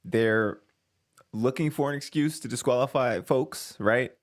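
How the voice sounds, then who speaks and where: A man's voice: mid-range voice, unhurried. A man hosting a reaction video, casually thinking aloud, hedging and restarting his sentences. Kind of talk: casual commentary.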